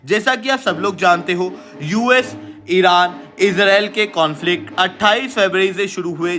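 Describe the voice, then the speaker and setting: A man's voice: sits high, sharp tone. An Indian man presenting as an online teacher, explaining current affairs emphatically and mixing in English phrases.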